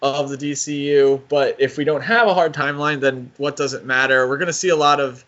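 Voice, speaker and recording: A man's voice: mid-range voice, fairly even intonation, brisk, a bit bright, dull audio. A man co-hosting a podcast in casual discussion, talking in a hesitant, rambling way and correcting himself as he goes. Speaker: podcast co-host.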